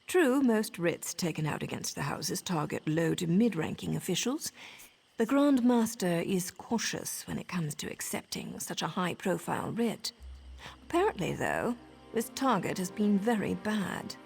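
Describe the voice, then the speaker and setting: An actress playing a poised professional assassin and mentor in a video game, with a wry, measured, self-assured delivery. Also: female voice, on the low side, sing-song, creaky, slightly rough, soft background music, full character voice. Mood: cool, explaining.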